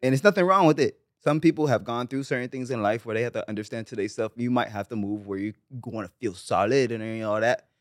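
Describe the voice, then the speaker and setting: A relaxed man from Barbados talks easygoingly as a podcast guest, his voice close and dry on a studio mic. His pitch sits in the middle range for a man. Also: quick pace, few pauses, dry recording. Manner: easygoing, repeats "you know what I'm saying". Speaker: guest musician. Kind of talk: casual podcast chat.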